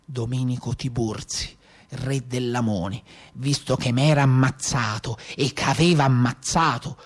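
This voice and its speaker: A man's voice: mid-range voice, close to an everyday voice. A man playing a dead legendary brigand of the Maremma who recounts his own end in a theatre monologue, with a Tuscan accent and grave delivery. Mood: grim, solemn. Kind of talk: dramatic monologue in narrative theatre.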